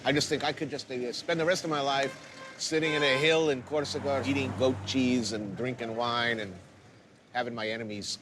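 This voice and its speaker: A middle-aged man hosting a travel show, chatting with deadpan, profane humor and also narrating voice-over. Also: medium pitch, normal speed, background noise. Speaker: host. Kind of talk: casual chat and scripted voice-over narration. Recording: music playing behind.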